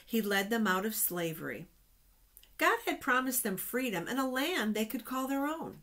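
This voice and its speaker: A middle-aged woman reading Bible stories aloud to children in an expressive, explanatory way. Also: on the high side, moderate pace. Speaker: children's storytime reader. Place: small office, indoors. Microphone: webcam at desk distance.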